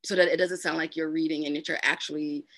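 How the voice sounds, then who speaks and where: A woman's voice: sits low, little pitch movement, fast, fairly bright tone, no room sound. Chatty woman with a Jersey accent. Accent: New Jersey. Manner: chatty, uses lots of fillers and repeats. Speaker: guest, voice narrator. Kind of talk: casual interview chat.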